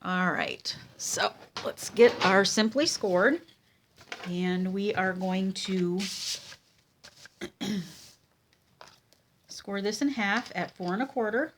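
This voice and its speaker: A woman with a cold and a nasal, congested voice, teaching a casual card-making tutorial at her craft table, with many ums and pauses. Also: medium pitch, lively intonation, slight background noise.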